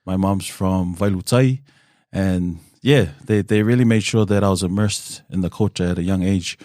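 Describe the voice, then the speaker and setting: A man, a podcast guest telling his life story in a relaxed, open way with plenty of "um" and "kinda". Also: low voice, moderate pace, dry recording, studio quality. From New Zealand. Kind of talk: candid conversational storytelling.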